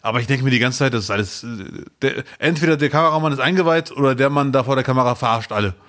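A man in casual conversation as a podcast co-host, his voice sitting at an ordinary middle pitch. He jokes at his own expense and breaks into laughter often. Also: racing, a bit bright. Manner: joking, self-mocking, laughs often. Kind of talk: casual chat.

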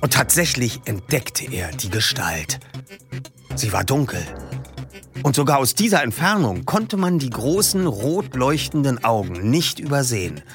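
A man reading a book passage aloud as narrator, telling the story expressively. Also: mid-range voice.

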